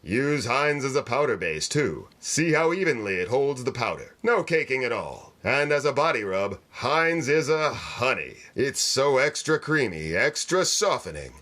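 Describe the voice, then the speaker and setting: Man playing a lively vintage radio announcer. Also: mid-range voice, a little slow, few pauses. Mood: persuasive. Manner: polished, emphatic. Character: smooth, persuasive 1940s radio announcer. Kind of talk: 1940s radio advertising pitch and banter.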